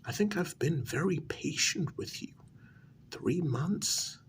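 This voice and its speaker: A man playing a smug, deluded asylum inmate in a soft-spoken ASMR role-play, breathy and half-whispered, talking down to his listener in a halting, condescending way. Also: a little high, animated, a little hiss or hum. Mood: patronizing, let down. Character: deluded male asylum inmate, smugly convinced he created the world.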